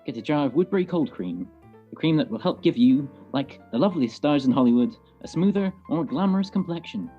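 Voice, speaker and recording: An actor playing a polished 1940s radio announcer, delivering a sponsor message in a smooth, emphatic selling manner. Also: male voice, a little high, lively intonation, fairly quick. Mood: promotional. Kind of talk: old-time radio advertisement read. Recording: dull, lo-fi sound with music.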